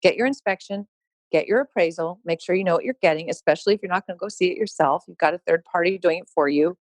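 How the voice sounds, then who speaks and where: A woman, a real estate company founder, telling a story as a podcast guest in an emphatic, animated way, laughing often and adding quick asides. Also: on the low side, no room sound, poor audio. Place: podcast interview.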